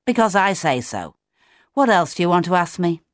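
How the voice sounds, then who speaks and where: Woman voicing a brisk, fatherly big penguin. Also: big pitch swings, no room sound, clean studio sound. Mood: brisk, matter-of-fact. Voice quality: deep. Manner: booming, matter-of-fact.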